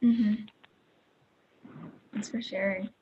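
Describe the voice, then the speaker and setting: A woman's voice: mid-range voice, fairly even intonation, extremely slow, mellow, dull audio. Woman moderating an online panel. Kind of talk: hosting an online panel discussion. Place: video call.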